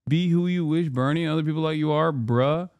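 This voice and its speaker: A man's voice: a little high, a little fast, darkish tone, smooth, dry recording. A man in his thirties streaming live, reacting to news clips with sarcastic, profane commentary. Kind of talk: casual livestream commentary.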